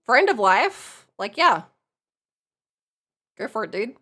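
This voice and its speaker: Young woman, streamer, lively pitch, blunt, laughs often, desk mic. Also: slow pace. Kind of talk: casual live-stream commentary.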